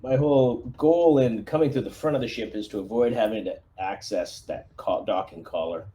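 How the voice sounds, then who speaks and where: A man speaking as a squad commander in a tabletop roleplaying game, briefing a plan in a halting, thinking-aloud way. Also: medium pitch, dark tone, a little hiss or hum. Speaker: player acting as squad commander.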